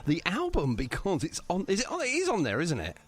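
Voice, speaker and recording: A man's voice: high-pitched, huge pitch swings, brisk, crowd noise. A chatty, jokey man, hosting a casual radio interview with a band. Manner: chatty, jokey, apologetic teasing. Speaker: radio show host.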